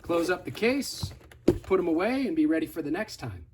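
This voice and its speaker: A man's voice: on the high side, big pitch swings, moderate pace, background noise. A man giving a casual, hands-on product tutorial in a conversational, step-by-step instructive way. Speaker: product demonstrator.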